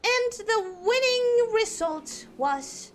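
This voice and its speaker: A young woman streaming live, chatting in an exaggerated put-on posh British accent with a theatrical, drawn-out delivery. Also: very high-pitched, animated. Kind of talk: casual live-stream chat.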